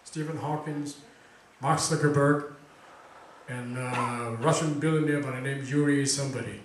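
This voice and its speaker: A man's voice: medium pitch, fairly even intonation, moderate pace, noisy background. Chatty man in a very echoey room. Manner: chatty, quizzing the audience, many fillers. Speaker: event host introducing a speaker. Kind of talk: casual talk to a student audience. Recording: very echoey room recording from far off.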